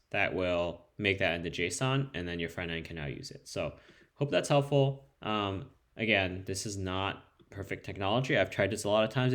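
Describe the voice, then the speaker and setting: A man's voice: lowish voice, a bit bright. A man with Spanish as his first language, casually walking through a coding demo with plenty of fillers and restarts. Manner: loose and conversational, many ums and restarts. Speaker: developer demoing code. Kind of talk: casual screen-share tutorial.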